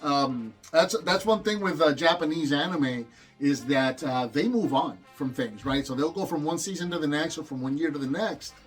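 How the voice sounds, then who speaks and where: Toy review host, a man from Puerto Rico, fairly high voice, eager and rambling, faint music behind. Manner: enthusiastic, rambling with restarts.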